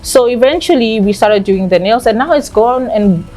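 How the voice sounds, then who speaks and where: A woman in her thirties, raised in South Africa, answering interview questions with candid, animated storytelling. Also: a little high, moderate pace, soft background music, low-quality recording. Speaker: nail salon owner.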